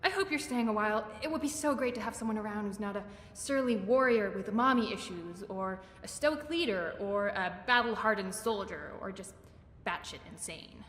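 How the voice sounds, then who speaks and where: A woman's voice: medium pitch, normal speed, hardly any pauses, fairly bright tone, lightly acted. A woman playing an eager, awkward, bookish young woman in a fantasy video game, gushing and rambling as she corrects herself. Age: young adult. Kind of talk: video game character acting. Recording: echoey hall, faint background noise.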